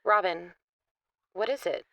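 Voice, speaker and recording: An actress playing a calm, measured young woman investigator in an audio drama. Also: medium pitch, creaky, dry recording, clean studio sound.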